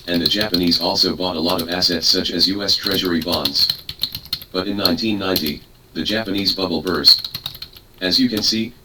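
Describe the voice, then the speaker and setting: Male narrator, deep and formal, reading a speech transcript; distant mic, keyboard clatter in the room. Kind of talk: read-aloud speech transcript. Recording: distant mic with clear background noise.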